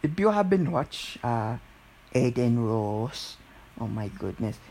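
A man rambles casually at a slow pace in a put-on Filipino accent, with a jokey manner. His pitch is average, and light steady noise sits behind his voice. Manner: jokey, often breaking into giggles.

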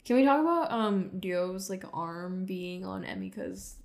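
A woman's voice: mid-range voice, animated, a little slow, gravelly. A woman co-hosting a podcast, chatting casually with blunt, dry remarks and casual swearing. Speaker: podcast co-host. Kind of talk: casual chat.

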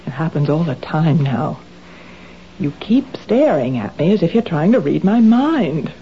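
A nervous, guilt-ridden wife. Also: female voice, low voice, very animated, unhurried, dark tone, slight background noise, full character voice. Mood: tense. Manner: hesitant, nervous, defensive. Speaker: lead character. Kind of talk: 1970s radio drama acting. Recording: dull lo-fi 1970s radio drama.

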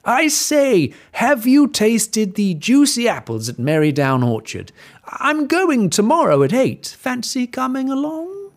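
A man's voice: very high-pitched, big pitch swings, a little slow, pitched above the performer's own voice, heavily acted. A man voicing a sly, greedy male wolf who talks with fake politeness in a storybook reading. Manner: smarmy, wheedling. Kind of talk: storybook character voice.

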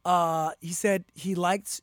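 A performer who books acting roles, talking as a guest in a casual chat and telling a story in a lively way, acting out what others said. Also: mid-range voice, slow pace, dry recording, clean studio sound. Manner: animated storyteller, acts out quotes.

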